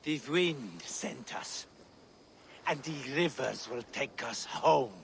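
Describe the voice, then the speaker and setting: An actor playing a captured Geat raider who drawls slow, mocking answers to his captors. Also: mid-range voice, expressive, gravelly, a little hiss or hum. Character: captured male Geat raider, sly and defiant. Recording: game cutscene with faint ambience.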